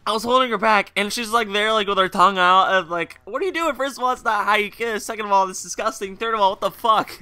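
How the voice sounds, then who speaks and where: A young man with a very bright, crisp, fairly high voice recorded dry and close. He rambles through a story over gameplay with jokes and fillers, sounding hungover. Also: fast talker, no room sound. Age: young adult. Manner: rambling, joking, full of fillers and asides. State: hungover, voice a bit rougher and lower than usual. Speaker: gaming video host.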